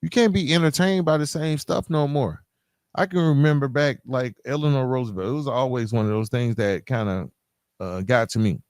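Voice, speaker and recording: A man's voice: medium pitch, normal speed, darkish tone, dry recording, studio quality. An American man hosting a solo podcast in a conversational, emphatic way, using street slang and often checking in with the listener. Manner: colloquial street-inflected slang. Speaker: podcast host.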